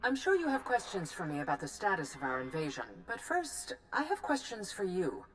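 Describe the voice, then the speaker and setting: A woman's voice: medium pitch, huge pitch swings, a little fast, slight background noise, low-quality recording. A woman playing a stern, commanding political leader in a video game, formal and interrogating. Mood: demanding. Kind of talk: video game dialogue acting.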